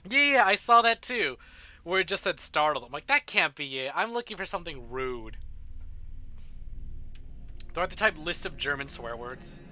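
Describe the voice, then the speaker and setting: Bright, lively male game streamer. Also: a little high, moderate pace, pauses often. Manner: expressive, informal. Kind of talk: casual livestream chat and reading visual novel narration aloud. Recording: slightly dull livestream audio. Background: game music.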